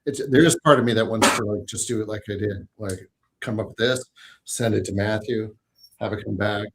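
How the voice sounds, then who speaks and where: A man's voice: lowish voice, a little fast, very noisy. A man hosting a casual listening stream about his album, chatty and self-deprecating, laughing often. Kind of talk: casual chat.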